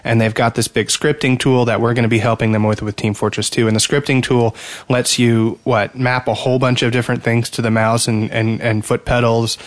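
A male podcast co-host with a mid-pitched voice, recorded dry and close. He banters casually, wry and a bit pedantic, teasing as he goes. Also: flat delivery, brisk, no echo.

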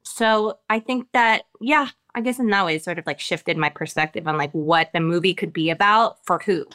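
Thoughtful actress, smooth clear voice. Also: female voice, fairly low pitch, fairly bright tone. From America. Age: adult with children. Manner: thoughtful, halting, many restarts and fillers. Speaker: actress being interviewed. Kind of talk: reflective interview answers.

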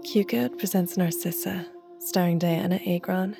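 A woman's voice: on the low side, fairly even intonation, normal speed, creaky, music in the background. A woman announcer giving a scripted podcast introduction and sponsor read.

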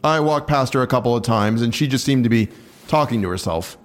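Male beat cop acted for audio drama: mid-pitched, plain-spoken, guarded, offhand and a little reluctant. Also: lively intonation, brisk, close to an everyday voice. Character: blunt, guarded male bridge patrol cop. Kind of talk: naturalistic audio drama acting.

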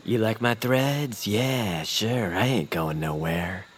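A woman's voice: a bass voice, slow pace, a little hiss or hum. A woman playing a vain but friendly young male bird creature, easily flustered, speaking in a hesitant, affectedly casual way in a cartoonish audio drama. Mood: cool, cocky. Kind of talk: cartoonish audio fiction acting.

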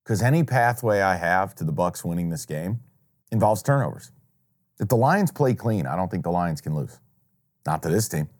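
A man's voice: low-pitched, lively intonation, normal speed, darkish tone. An American man co-hosting a sports betting show with casual, opinionated banter, blunt and dramatically emphatic.

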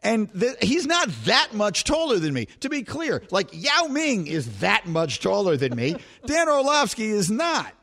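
Middle-aged guy hosting sports talk radio, highish voice, bounces all over the place telling stories. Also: unhurried, creaky, hardly any pauses. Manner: animated storytelling, laughs at himself. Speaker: sports talk show host.

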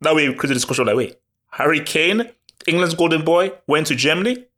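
A man co-hosting a casual football podcast, talking in an animated way and repeating words when he gets excited. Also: fairly high pitch, fast talker. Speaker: podcast co-host.

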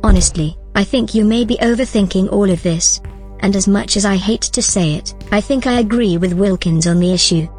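A woman's voice: medium pitch, fairly quick, darkish tone, lightly acted. A composed, principled female AI from a sci-fi audio drama, acted by a woman. She speaks formally and evenly in a mid-range voice, with faint music behind. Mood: calm, reasoning. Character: calm, principled female artificial intelligence.

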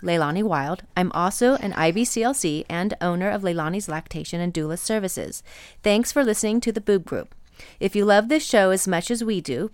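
A woman's voice: fairly low pitch, dry recording. A woman hosting a podcast, giving scripted introductions in a studio. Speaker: podcast host.

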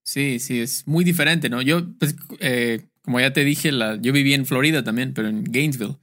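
A male host keeps a relaxed interview going. He often chimes in with quick agreement and easy comments. His pitch sits in a middle range. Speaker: podcast host. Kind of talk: casual interview chat.

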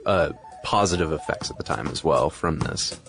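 Low-voiced man, podcast co-host. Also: lively intonation, normal speed, creaky, over loud music. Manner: conversational, discursive. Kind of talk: casual explanatory podcast chat.

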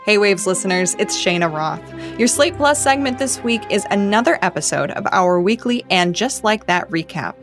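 A woman's voice: mid-range voice, expressive, normal speed, few pauses. A woman podcast presenter reading a scripted promotional announcement to listeners. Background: music.

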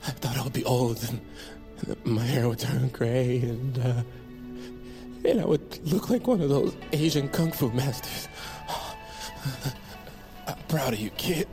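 A man's voice: mid-range voice, measured pace, over music, close to an everyday voice. An actor playing a melodramatic young man, a jittery buddy hero in a comedic action audio drama, full of hesitant banter. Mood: mock-tragic dying. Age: young adult.

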